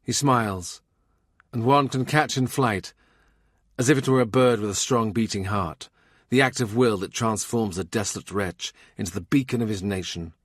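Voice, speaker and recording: A man narrating a historical novel as an audiobook, with measured, deliberate literary phrasing. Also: fairly low pitch, no echo, studio quality.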